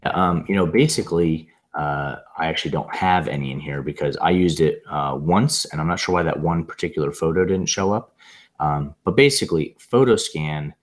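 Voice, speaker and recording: Very low-pitched man, slightly hoarse voice, casual software demo, video call mic. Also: moderate pace. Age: adult with a young son. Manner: rambling, with many fillers and restarts. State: recovering from laryngitis. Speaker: presenter demonstrating software. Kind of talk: casual screen-share tutorial.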